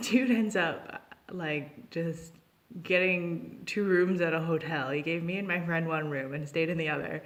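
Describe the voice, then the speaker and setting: Low-pitched young woman telling a casual story. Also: fairly bright tone. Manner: chatty, giggly, acts out quoted dialogue. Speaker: storytelling vlogger. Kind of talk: casual, unscripted personal storytelling.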